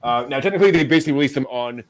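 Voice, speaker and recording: A man's voice: medium pitch, animated, rapid-fire. A man hosting a news podcast, delivering items in a casual, conversational way. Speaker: anime news podcast host.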